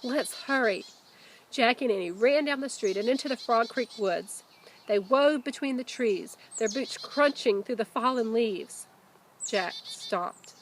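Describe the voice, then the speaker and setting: A woman, a teacher reading a children's book aloud expressively, with deliberate emphasis. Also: a little high, lively intonation, a little hiss or hum. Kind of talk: children's storybook read-aloud.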